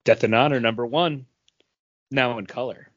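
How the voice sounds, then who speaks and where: Man, average pitch, informal podcast conversation, co-host, faintly dull recording. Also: normal speed. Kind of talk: casual panel chat.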